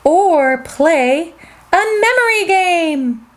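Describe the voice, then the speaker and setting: A woman reading a children's story aloud in an animated, sing-song way as the host. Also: very high-pitched, very slow.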